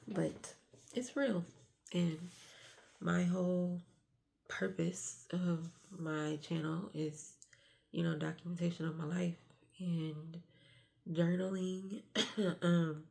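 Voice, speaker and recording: A middle-aged woman vlogging a hushed, confessional talk early in the morning, with a stuffy nose from getting sick. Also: fairly low pitch, creaky. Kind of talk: confessional vlog.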